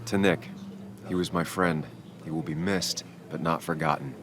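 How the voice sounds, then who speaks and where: A man's voice: a bass voice, fairly even intonation, moderate pace, background noise. An actor playing a brooding, grieving, self-deprecating man in a video game, speaking in a subdued, terse way. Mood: solemn.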